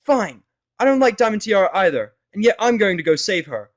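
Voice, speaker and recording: Man voicing a brash high-pitched young pegasus mare. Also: sing-song, brisk. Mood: furious. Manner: forceful, scolding, exclamatory. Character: brash, headstrong young female pegasus pony, righteous and bossy. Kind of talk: fan fiction audio drama character acting.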